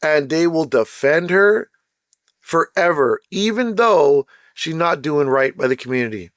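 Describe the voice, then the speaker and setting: Host, man, casual blunt rant, slightly high voice, hoarse and fading, dry close sound. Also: expressive, a little slow, fairly bright tone, no room sound. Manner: blunt, emphatic, repeats points. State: losing his voice, throat-clearing. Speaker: channel host. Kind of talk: casual ranting commentary.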